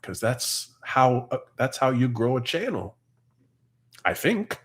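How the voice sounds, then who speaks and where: A man's voice: medium pitch, sing-song, takes it slowly, fairly bright tone. A Canadian man in his forties or fifties with a Canadian accent, hosting a farm livestream and reading out viewers' comments in a chatty, easygoing way. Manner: chatty, easygoing, laughs often. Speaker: farm channel host reading live comments. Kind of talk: casual livestream chat.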